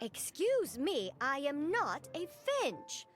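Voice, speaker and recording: Game role: gentle, absent-minded bird creature, formal and hesitant, expressive higher voice. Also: female voice, takes it slowly, over loud music, heavily acted. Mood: flustered, polite. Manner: hesitant, wordy, politely formal. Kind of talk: video game character dialogue.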